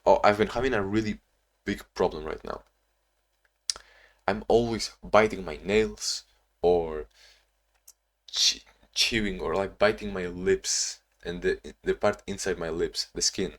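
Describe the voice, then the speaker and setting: A young man talking in a casual, reflective diary-style vlog, halting with frequent restarts and sounding a bit sleepy. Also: deep voice. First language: not English; Portuguese not first language either. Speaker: vlogger.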